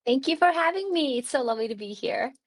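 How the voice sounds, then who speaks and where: A woman's voice: high-pitched, animated, quick pace. A woman in her thirties from Turkey, a podcast guest telling stories casually, with lots of "like" fillers and frequent laughs. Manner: chatty, many "like" fillers, laughs often. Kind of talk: casual conversational storytelling.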